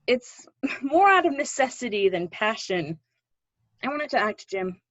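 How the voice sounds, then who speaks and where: A woman's voice: fairly high pitch, sing-song, a little slow, no room sound, dull audio. A woman acting an earnest, pushy drama teacher with faded dreams, encouraging and wistful in a play readthrough.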